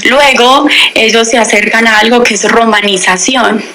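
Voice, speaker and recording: A woman's voice: fairly high pitch, moderate pace, low-quality recording. A young woman, a language teacher giving a radio interview and candidly telling her story. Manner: lively, candid storytelling, acts out quoted dialogue.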